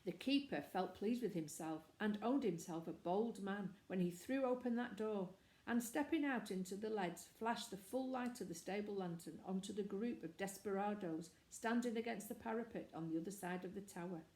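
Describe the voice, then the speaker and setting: Mellow-voiced woman reading aloud in echoey room. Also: medium pitch, moderate pace. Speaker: storybook reader. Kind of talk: scripted narration of a children's novel.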